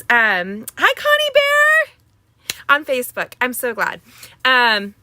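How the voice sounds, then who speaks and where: A woman's voice: on the high side, pushed and bright, no room sound. A middle-aged woman hosting a live craft class, chatting casually with viewers, greeting them by name, with plenty of filler words. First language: Spanish.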